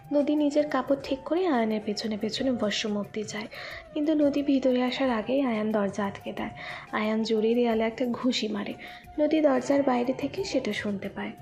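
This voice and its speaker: A woman narrates a scripted love story. Her voice sits fairly high, and faint music runs underneath the narration. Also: moderate pace, darkish tone.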